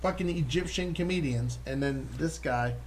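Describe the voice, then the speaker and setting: A man's voice: a little high, moderate pace, a loud crowd. A man hosting a casual comedy podcast, swearing freely and joking with his guests. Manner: loose, profane, laughing banter. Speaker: podcast host.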